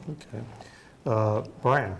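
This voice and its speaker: A man with a creaky, average-pitched voice moderates a forum. He reads questions and hands over to speakers, with slight room noise behind. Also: slow pace. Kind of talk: reading questions and calling on speakers.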